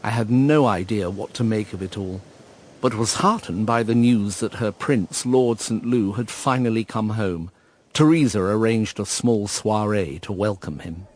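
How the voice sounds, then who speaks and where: An actor playing a brooding, wistful man who narrates a radio drama in a measured, reflective way. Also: lowish voice, a little mellow. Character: brooding, wistful male narrator looking back on lost love.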